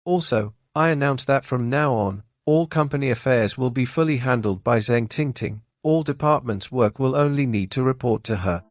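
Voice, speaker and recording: A man playing an aging company chairman with a formal, measured, official manner in a dubbed melodrama. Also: mid-range voice, no echo, lightly acted. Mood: solemn. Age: older. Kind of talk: dubbed melodrama audio drama.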